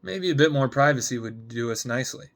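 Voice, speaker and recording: A man playing a mild-mannered, soft-spoken smooth talker in his late twenties, flirting earnestly in a dramatic script reading. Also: mid-range voice, animated, a little fast. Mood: flirtatious, earnest.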